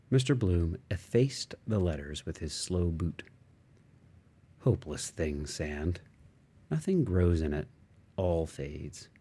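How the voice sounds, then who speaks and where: Deep, unhurried man reading fiction. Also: mellow, slightly rough. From United States. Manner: measured, fragmentary phrasing with pauses. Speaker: audiobook reader. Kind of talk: literary narration, stream-of-consciousness reading.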